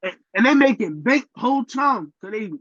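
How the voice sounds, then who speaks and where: A man's voice: extremely high pitch, expressive, moderate pace, a bit bright, dry recording. A man co-hosting a podcast, talking in emphatic slang in a casual chat. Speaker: podcast co-host. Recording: dull lo-fi sound.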